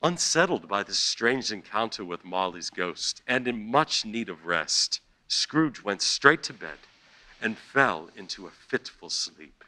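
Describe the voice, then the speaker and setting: A man's voice: lowish voice, big pitch swings, unhurried, low-quality recording. A man narrating and announcing a radio play in a dramatic, scripted storytelling style. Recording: music loud behind the voice.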